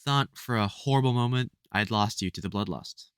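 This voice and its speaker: A man playing a soft-hearted, protective vampire hunter mentor in an intimate audio roleplay, speaking fondly and hesitantly with wry exasperation. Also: fairly low pitch, a little fast, clear, resonant tone, dry recording, clean studio sound, close to an everyday voice. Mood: tender, relieved. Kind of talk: intimate audio roleplay acting addressed to the listener.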